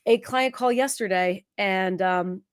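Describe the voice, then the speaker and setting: A woman fighting a cold hosts a live question-and-answer stream, sniffling now and then. She talks in a chatty, self-interrupting way, often chiming in with quick agreement. Her voice sits at a middle pitch in a dry, close recording. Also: smooth, dry recording. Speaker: host, productivity consultant.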